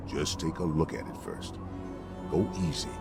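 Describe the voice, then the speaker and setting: Very deep-voiced frontier lawman. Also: steady tone, normal speed. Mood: grudging. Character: folksy, steady frontier town lawman. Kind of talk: video game character dialogue. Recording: music behind the voice.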